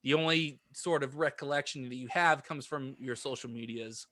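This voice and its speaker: Bright-voiced man chatting casually. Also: medium pitch, normal speed. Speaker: podcast co-host. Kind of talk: casual group chat.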